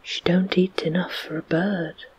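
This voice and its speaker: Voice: mid-range voice, little pitch movement, a little slow, husky, highs cut off. A whispering reader doing a fretful rustic mother. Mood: complaining. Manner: plain rustic grammar. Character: fretting working-class mother.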